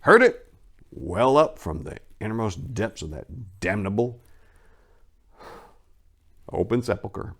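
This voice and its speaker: A man acts out a haunted survivor giving his statement in a horror story reading. The delivery is theatrical and halting, creaky in tone, swinging widely between hushed dread and anguish. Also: on the low side, very animated, heavily acted.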